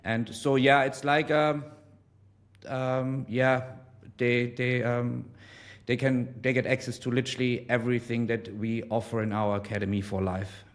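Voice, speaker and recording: A man of forty from Germany, explaining his trading as an interview guest, measured and full of ums. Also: mid-range voice, flat delivery. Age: 40s. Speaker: guest trader. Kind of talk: casual interview.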